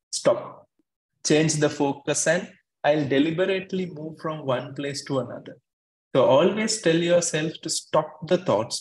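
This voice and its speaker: Guy coaching exam students online, kinda high voice, says things twice for emphasis. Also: rough recording. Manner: earnest, repeats words for emphasis. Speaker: exam tutor.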